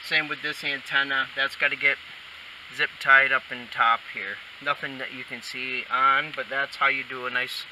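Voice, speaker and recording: A man's voice: mid-range voice, level tone, moderate pace. A man giving a casual how-to while he works, talking in short, folksy remarks to the camera. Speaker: installer demonstrating a how-to. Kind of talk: casual hands-on tutorial talk. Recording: distant mic, loud hiss and vehicle noise.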